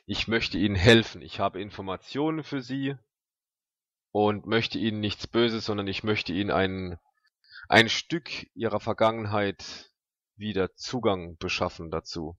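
Man playing a formal, cryptic mystery caller, lowish voice. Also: a little slow, fairly bright tone, no echo, dull audio. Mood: coolly insistent. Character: polite, insistent, secretive mystery caller offering deals.

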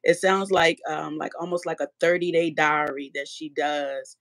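A woman guest on a livestream, her voice slightly raspy from allergies, relaxed as she talks about her painting. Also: low voice, normal speed, dry recording. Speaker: guest artist. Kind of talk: casual livestream chat.

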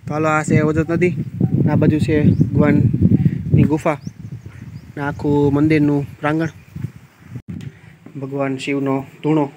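A man's voice: a little high, monotone, measured pace, pauses often, very noisy. A man, chatting casually as he walks viewers around a riverside temple on a phone camera. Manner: chatty, pointing things out as he walks. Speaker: village vlogger. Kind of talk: casual guided tour.

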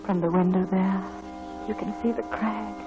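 A woman's voice: medium pitch, fairly even intonation, moderate pace, dark tone, close to an everyday voice. An actress playing a dying young woman, a tender, yearning lover in a 1940s radio drama. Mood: weak, yearning. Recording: dull vintage radio recording with music.

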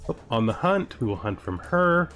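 A man's voice: mid-range voice, big pitch swings, moderate pace, fairly bright tone, faint music behind. A man giving casual strategy game commentary as host, thinking aloud and reading event text. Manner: thinking aloud, asking himself questions. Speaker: let's-play host.

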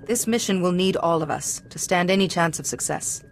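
A woman's voice: on the low side, soft background music, natural voice. Woman as a composed young heroine. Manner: measured, calm. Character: composed, resolute young female Jedi leader. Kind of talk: video game cutscene acting.

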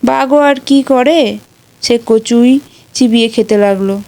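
Female narrator for children's tales, unhurried delivery, voice a little high. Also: dark tone. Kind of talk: scripted storytelling for children.

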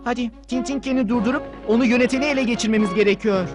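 A woman's voice: fairly high pitch, very fast, close to an everyday voice. A woman voicing a plucky, earnest young rich boy hero in a dubbed cartoon. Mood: determined. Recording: music behind the voice.

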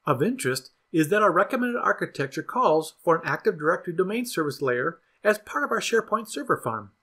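A man narrating a scripted software tutorial in an even, instructional way. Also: sits high, fairly quick. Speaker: product demo narrator.

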